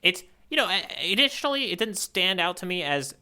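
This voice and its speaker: A man's voice: high-pitched, sing-song, fairly quick, bright, forceful tone, clean tone. A man co-hosting a podcast, chatting casually and playfully about manga, with a habit of slipping into mock voices. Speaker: podcast co-host.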